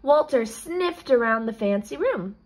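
A woman with a fairly high voice reads a children's storybook aloud. Her delivery is slow and very lively in pitch, with the explanatory manner of a teacher. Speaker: teacher reading a picture book aloud. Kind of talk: expressive read-aloud for children. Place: indoors, picture book filmed from above.

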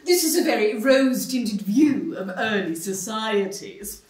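A woman's voice: on the high side, lively intonation, a little slow, darkish tone. A woman playing an assertive TV debater. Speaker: debate guest. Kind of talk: stage play acting. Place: small stone-walled theater stage. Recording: roomy, distant stage sound.

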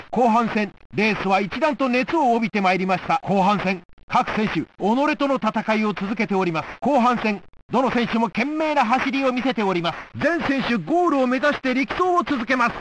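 A man playing an excitable old-time stadium announcer, giving formal, emphatic play-by-play for a sports video game. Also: very high voice, a little fast, hardly any pauses, a little hiss or hum. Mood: eager, focused. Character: excitable male 1960s Olympic stadium announcer.

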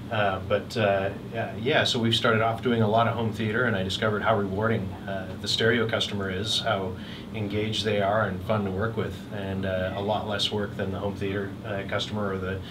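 A middle-aged man casually presenting products as a dealer, with a halting, hesitant delivery. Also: on the low side, little pitch movement. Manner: halting, hesitant delivery with frequent long pauses. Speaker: hi-fi dealer exhibiting at a trade show. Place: hotel room at an audio show, indoors. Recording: distant mic, clearly noisy room.

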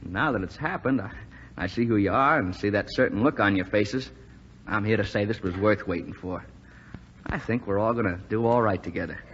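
An actor playing an eager, cocky young American bombardier from Texas in a 1940s radio drama. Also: male voice, on the low side, a little fast, fairly bright tone. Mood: earnest. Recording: muffled, hissy 1940s radio recording.

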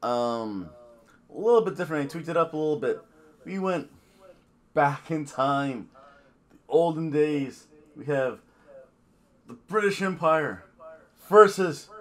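A man in his fifties with a slightly hoarse voice, talking casually to a webcam at home and then calling wrestling video game matches in a loud announcer manner. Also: on the high side, takes it slowly, long pauses. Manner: loud, excited play-by-play announcing; quiet and relaxed when talking to camera. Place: home office room with bookshelves. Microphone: webcam at desk. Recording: echoey room, distant mic, loud background noise.

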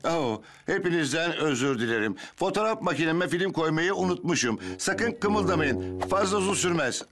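A man's voice: a little high, expressive, normal speed. A man voicing a friendly, bumbling, apologetic grown man in a children's cartoon dub. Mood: amiable, flustered. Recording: music behind the voice. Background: music.

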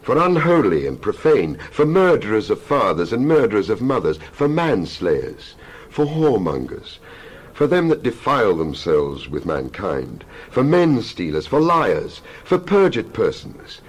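A man giving a dramatized scripture reading as a Bible narrator, solemn and emphatic, with measured oratorical phrasing. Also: on the low side, huge pitch swings, slightly rough. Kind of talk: dramatized scripture reading, King James English.

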